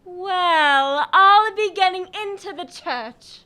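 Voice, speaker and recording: A woman's voice: extremely high pitch, takes it slowly, background noise. A woman playing a prim, judgmental village gossip in a radio drama, with a sanctimonious manner. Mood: sniffy, disapproving.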